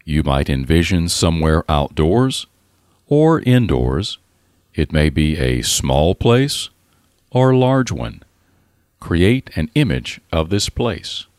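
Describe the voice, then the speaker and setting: A man narrating a scripted guided relaxation in a calm, soothing, deliberate manner with pauses. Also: low voice, no room sound, studio quality.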